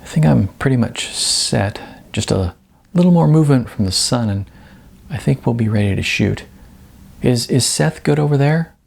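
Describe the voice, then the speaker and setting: A man's voice: medium pitch, lively intonation, moderate pace, gravelly, a little mellow, slightly rough. A man voicing a focused young woman filmmaker in an audiobook, intent and matter-of-fact.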